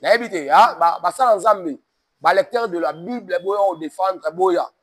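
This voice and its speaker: High-voiced Congolese man preaching. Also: normal speed, soft background music. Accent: Congolese French. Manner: emphatic, mixes French and Lingala, sermon cadence. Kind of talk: preaching-toned interview talk.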